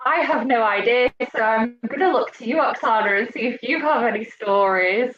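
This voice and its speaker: A woman's voice: a little high, normal speed, fairly bright tone, slight background noise. Young woman, apprentice panelist, chatty and friendly, muffled lo-fi sound. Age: young adult. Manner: friendly, chatty, addresses students directly. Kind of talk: casual webinar talk.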